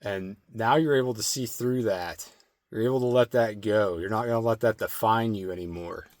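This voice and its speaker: A man giving a slow, meditative spirit card reading with a strained, slightly hoarse throat and many filler words. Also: lowish voice. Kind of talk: intuitive oracle card reading.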